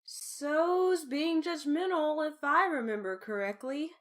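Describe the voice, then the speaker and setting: A woman playing a worried, bitter, sharp-tongued mother in an amateur comic dub. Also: high voice, slow. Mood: cutting.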